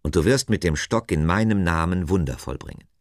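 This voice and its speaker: A stern, patient God in a Bible tale, performed by a male narrator. The delivery is solemn and emphatic, with animated pitch movement and a dry, close sound. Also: fairly quick, no room sound. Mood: commanding.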